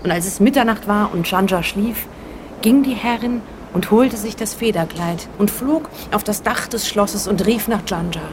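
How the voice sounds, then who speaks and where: An actress plays an earnest young woman in a radio drama, telling a fairy tale. Her voice sits at a middle pitch. She is recorded on location, with plain vehicle noise and ambience behind her. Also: moderate pace, natural voice. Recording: noisy location with vehicle sounds.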